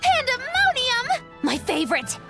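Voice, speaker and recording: A woman's voice: very high-pitched, huge pitch swings, a little slow, sharp tone, soft background music, heavily acted. An actress playing a mischievous, theatrical witch in a video game, showy and playful. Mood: gleeful. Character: gleeful, theatrical, mischievous witch who delights in chaos. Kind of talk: English video game voice acting.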